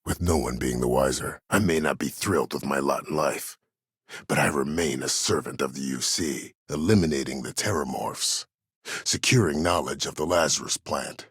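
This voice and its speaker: An actor playing a disgraced, ruthless older military commander in a video game, speaking in a measured, formal, imperious and calculating way. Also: male voice, rumbling low, fairly bright tone, husky, dry recording, studio quality, full character voice. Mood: proud, calculating. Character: disgraced ruthless old military commander, coldly self-justifying schemer. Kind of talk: dramatic game dialogue.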